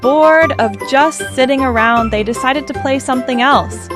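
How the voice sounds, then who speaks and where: Female children's storyteller, higher-pitched, expressive and unhurried, over prominent jingly children's music. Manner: expressive, simple phrasing addressed to children. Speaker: children's storybook reader and channel host. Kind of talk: read-aloud storytelling for young children.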